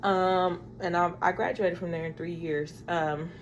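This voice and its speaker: A woman's voice: fairly low pitch, fairly even intonation, unhurried. A woman in her thirties chatting casually to her vlog viewers in a rambling, confiding way, calling them y'all. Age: 30s. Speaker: vlogger. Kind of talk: casual chat to camera. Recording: faint background noise.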